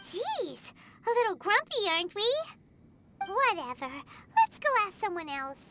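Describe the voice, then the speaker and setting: A woman's voice: very high-pitched, very animated, unhurried, noisy background, heavily acted. A woman voicing a tiny, perky, cheeky childlike companion in an anime-style game, full of exclamations. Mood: huffy. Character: tiny high-voiced perky childlike floating companion girl.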